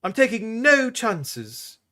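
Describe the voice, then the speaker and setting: A man voicing a sharp-tongued, bossy young princess who snaps her lines. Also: big pitch swings, slow, exaggerated voice. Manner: snappy, high and firm.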